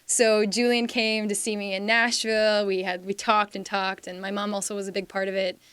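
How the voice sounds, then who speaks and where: A woman's voice: mid-range voice, little pitch movement, normal speed, a bit bright, clear, resonant tone, dry recording. A young woman from Slovakia chatting as a singer guest in a radio studio, talking in long rambling runs full of fillers and "like"s. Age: young adult. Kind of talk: casual interview chat. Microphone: studio microphone.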